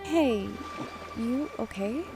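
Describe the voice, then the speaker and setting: An actress playing a devoted, headstrong biologist on an oil rig in an audio drama, emotional and earnest. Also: female voice, a little high, animated, drawn-out words. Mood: caring. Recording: music under the voice.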